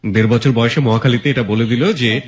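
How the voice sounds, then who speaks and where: A man's voice: medium pitch, steady tone, fairly quick. A man with a Bangladeshi accent hosting a conversational interview, putting short acknowledgements and clarifying questions to his guest. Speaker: radio show host interviewing guest. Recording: dull lo-fi sound.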